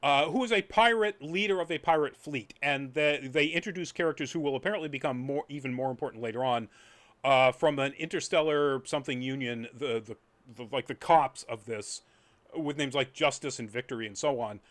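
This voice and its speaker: A man's voice: medium pitch, a little fast, a bit bright. Rambling man chatting casually. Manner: rambling, trailing off into asides. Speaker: podcast host reviewing anime. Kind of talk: casual chat review.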